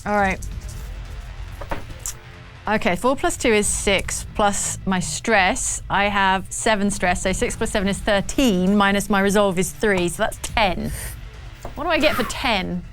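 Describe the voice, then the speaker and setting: A woman's voice: mid-range voice, a little slow. A woman playing a tabletop game, chatting casually as she works through her turn. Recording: music playing under the voice. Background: background music.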